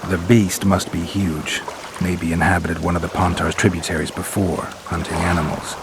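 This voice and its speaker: A gruff, world-weary monster hunter from a fantasy game. His voice is low, his delivery blunt and deadpan, with few words to spare. Loud water noise runs behind him. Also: normal speed. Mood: terse, calm. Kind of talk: dramatic video game dialogue.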